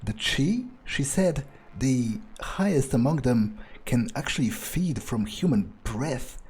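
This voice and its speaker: A man's voice: medium pitch, expressive, slow pace, darkish tone, exaggerated voice. A man playing a cocky, cruel, foul-mouthed vampire who muses aloud in a video game roleplay. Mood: musing. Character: cocky, cruel male vampire player character, musing aloud. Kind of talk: in-character game roleplay monologue. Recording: clear background noise.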